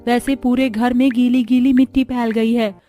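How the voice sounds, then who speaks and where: A woman's voice: a little high, level tone, moderate pace, dark tone, faint music behind, lightly acted. A woman voicing young village daughters-in-law in a moral-story cartoon, theatrical and exclamatory as they bicker and complain. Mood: exasperated.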